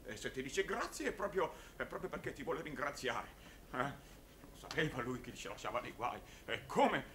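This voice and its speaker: A middle-aged man, a downtrodden, excitable circus clown in an old TV drama. His forceful, bright voice stumbles and repeats theatrically, proud and bitter, over faint soundtrack noise. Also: medium pitch, normal speed. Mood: indignant. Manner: stammering, repetitive, theatrical. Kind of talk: 1960s Italian television drama acting. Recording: noisy old television soundtrack.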